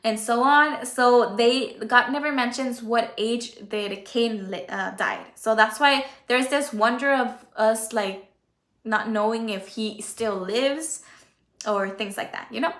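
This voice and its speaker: A woman whose first language is Spanish, informally teaching a Bible study in a conversational, halting way. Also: fairly high pitch, a bit bright, roomy sound. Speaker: Bible teacher and channel host. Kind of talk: informal Bible study talk, reading and explaining.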